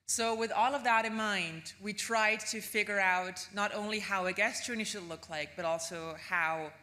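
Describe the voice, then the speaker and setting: A woman's voice: medium pitch, fairly even intonation, moderate pace, pushed and bright. A woman from Austria, giving a casual, chatty keynote talk with frequent ums and tag questions. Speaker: keynote speaker. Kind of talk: conference keynote presentation.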